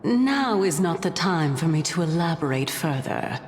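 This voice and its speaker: An actress playing a composed, calculating woman in a video game, speaking in a measured, formal, coolly condescending way. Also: deep voice, sing-song, big-room sound, a little hiss or hum. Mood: cool, teasing. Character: composed, calculating, teasing woman with hidden motives.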